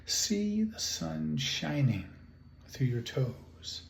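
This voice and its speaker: A man leading a guided relaxation meditation, speaking in calm, soothing, measured phrases with long pauses. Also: fairly high pitch, vocal fry. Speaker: guided imagery and relaxation instructor. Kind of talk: guided meditation, slow spoken instructions. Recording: roomy echo, faint background noise.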